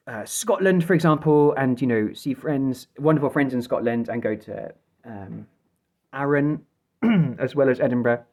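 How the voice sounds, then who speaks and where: Man, reflective, grateful, halting phrases, personal video diary, mid-pitched voice. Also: a little mellow. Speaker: vlogger sharing a health update.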